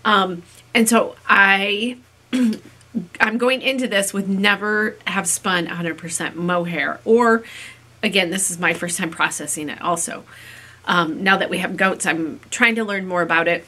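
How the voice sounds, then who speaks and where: A woman in her fifties, a little raspy from a cold, chats to the camera from a small room at home. Her voice is bright and forward, and she thinks aloud with plenty of ums. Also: mid-range voice, measured pace. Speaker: fiber-craft vlogger. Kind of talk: casual chat to camera. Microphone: camera in front at desk distance.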